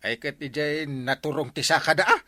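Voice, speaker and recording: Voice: medium pitch, normal speed, bright, forceful tone, dry recording, studio quality, close to an everyday voice. An actor playing a grumbling villager in a comedy drama. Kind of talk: comedy radio drama acting in Ilocano.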